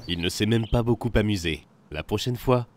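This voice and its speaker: A man's voice: on the low side, moderate pace, slight background noise. A man reading scripted third-person narration for a film.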